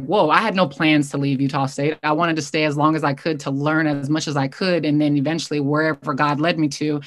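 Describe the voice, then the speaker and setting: American woman with a low, even voice. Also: no echo. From Hawaii, United States. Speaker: basketball coach, interview guest. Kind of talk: conversational interview storytelling.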